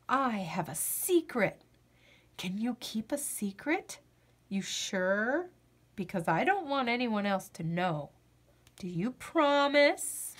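A little boy frog played by a woman confides in the listener in a high, very slow storybook voice. He is anxious and earnest, hesitating often, with a lively swing in pitch. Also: full character voice. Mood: secretive. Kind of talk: children's picture-book read-aloud.

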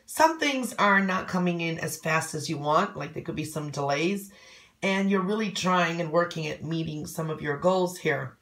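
A hoarse woman giving a casual fortune reading from coffee grounds, loose and chatty with many ums. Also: fairly low pitch, normal speed, a bit bright. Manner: loose and conversational, many "um"s and "okay?"s. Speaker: coffee cup reader.

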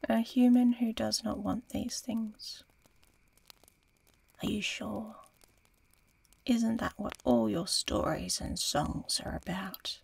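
A woman voicing an aloof, curious, teasing female dragon in a fantasy ASMR roleplay, breathy and often whispering, slow and musing. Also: medium pitch, darkish tone, no echo, full character voice. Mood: curious, amused. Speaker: audio roleplay performer.

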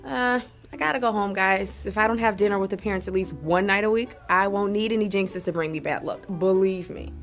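A woman's voice: mid-range voice, brisk, few pauses, faint music behind, lightly acted. A woman acting an easygoing young student actress, casual and self-deprecating, in an audio drama.

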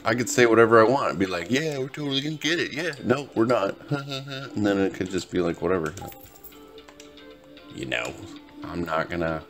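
A man's voice: on the low side, very animated, normal speed, music in the background. A tired, laid-back man giving casual, rambling gameplay commentary to his viewers as host of a gaming video. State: tired, just woke up.

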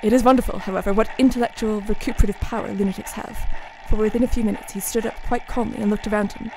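A woman's voice: mid-range voice, steady tone, fast talker, background noise, lightly acted. A woman playing a grave, heartsick Victorian asylum doctor in a radio play, with formal, reflective delivery. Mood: brooding, reflective. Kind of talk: radio play acting, diary narration and dialogue.

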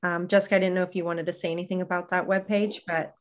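A woman's voice: on the low side, level tone, fast talker. A woman in her thirties moderating an online panel discussion in a casual, conversational way over a video call. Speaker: panel host. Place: indoor room on a video call.

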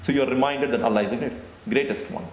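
A middle-aged man giving a deliberate, explanatory Islamic lecture into a handheld microphone, often repeating phrases. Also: medium pitch, slight background noise. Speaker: Islamic preacher. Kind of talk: religious lecture. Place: indoor stage with curtain backdrop. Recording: echoey room.

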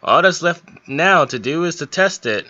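Bright-voiced young man, slightly dull recording. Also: on the high side, lively intonation, normal speed, no room sound. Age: young adult. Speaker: hobbyist game modder giving a tutorial. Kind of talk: informal screen-recorded tutorial.

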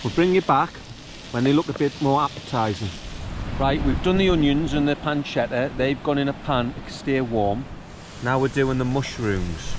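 A man in his forties with a North East England accent, chatting wryly to a handheld camera on an open hillside. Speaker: camping vlogger. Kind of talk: casual outdoor vlog narration. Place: open grassy hillside valley by stream. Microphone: handheld camera at arm's length. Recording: noisy outdoors, wind and stream rumble. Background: wind and running water.

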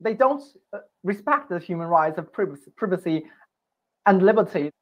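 Halting man with an altered voice. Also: high-pitched, expressive, highs cut off. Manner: halting, pausing, repeating words. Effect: voice altered for anonymity. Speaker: interviewed student in a news clip.

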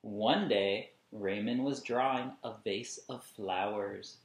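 Deliberate man reading to children, unhurried. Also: on the low side. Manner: deliberate, emphatic, explaining asides. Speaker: children's read-along host. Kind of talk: expressive storybook reading for young children. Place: small indoor room at home. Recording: echoey room, camera at a distance.

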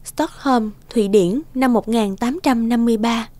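A woman reading scripted narration for an animated film in a measured, deliberate way. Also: fairly high pitch, close to an everyday voice. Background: background music.

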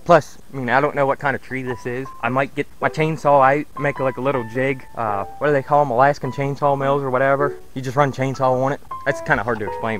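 A man, giving a rambling, folksy walk-and-talk tour of his backyard farm on a camera mic, outdoors. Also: mid-range voice. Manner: rambling, folksy, thinks aloud. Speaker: homesteading vlogger. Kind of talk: casual walk-and-talk vlog.

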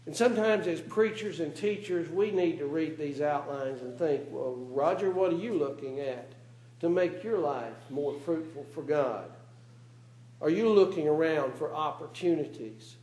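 A man's voice: on the high side, normal speed, a little mellow. A man preaching a sermon in a church, speaking earnestly and asking his congregation rhetorical questions. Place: church meeting room. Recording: roomy church echo.

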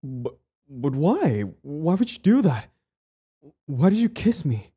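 Man playing a clingy young bully in love, very mellow dark voice, slow, stammering, hesitant, intimate romance audio roleplay. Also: fairly high pitch, sing-song, slightly rough, pitched above the performer's own voice, exaggerated voice. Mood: flustered, startled. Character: lovestruck, clingy young male bully, flustered and pleading.

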